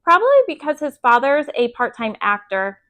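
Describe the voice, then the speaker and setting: Female storyteller with a high voice for an expressive children's book read-aloud. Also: moderate pace, phone-quality sound. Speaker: bedtime story reader.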